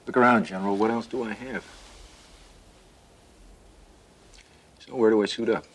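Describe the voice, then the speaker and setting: An actor playing a weary, dutiful middle-aged military pilot with clipped, resigned speech in a science fiction TV drama. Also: male voice, lowish voice, fairly even intonation, very fast, slight background noise, natural voice. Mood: bitter resolve.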